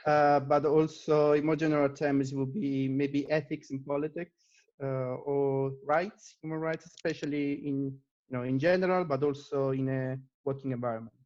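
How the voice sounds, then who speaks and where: A man's voice: on the high side, fairly even intonation, normal speed, a little mellow, muffled recording. A man from Italy, a native Italian speaker with an Italian accent, answering questions as an interview guest in a conversational style. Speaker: interview guest, data scientist.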